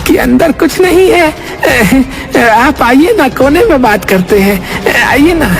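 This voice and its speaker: A man's voice: extremely high pitch, animated, gravelly, exaggerated voice. A man voicing a greedy, oily village shopkeeper in a cartoon, fawning and wheedling in an exaggerated manner. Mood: nervous fawning. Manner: fawning, wheedling, drawn-out pleading.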